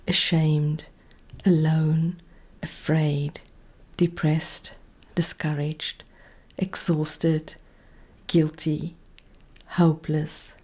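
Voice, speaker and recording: A woman speaking as a meditation coach, giving calm, gently directive guided instruction in a soft, breathy voice that often nears a whisper. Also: low-pitched.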